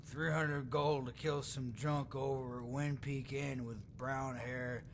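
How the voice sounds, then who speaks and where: A man's voice: medium pitch, flat delivery, slow, slight background noise. A man playing a crude, lecherous drunken tavern patron in a video game, boastful, sardonic and blunt. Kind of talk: video game character dialogue.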